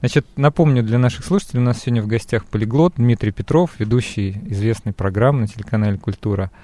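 A man's voice: lowish voice, quick pace, mellow. A man hosting a radio interview from a studio, relaying listener questions and talking conversationally with his guest. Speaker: radio host and programme author.

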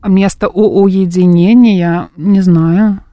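A woman's voice: fairly low pitch, fairly even intonation, dry recording, dull audio. A female radio co-host bantering on air, giggling often and joking at her own expense. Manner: giggly, self-mocking, quick quips. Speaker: radio morning show co-host. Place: radio studio.

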